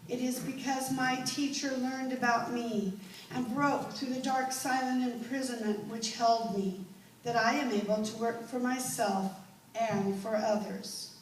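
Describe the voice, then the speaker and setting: Casting: woman as solemn deaf-blind female speechmaker, higher voice, deliberate stage delivery, distant mic. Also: steady tone, natural voice. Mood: impassioned appeal. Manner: deliberate, solemn, halting phrasing. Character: Helen Keller, earnest deaf-blind woman pleading for the blind. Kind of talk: theatrical speech to an audience. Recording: echoey room, distant mic, faint noise.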